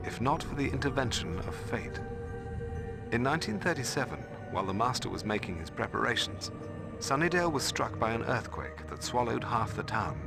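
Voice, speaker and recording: An actor playing a learned, solemn male mentor in a video game, narrating lore in a measured, formal way. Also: mid-range voice, creaky. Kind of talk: scripted storytelling narration.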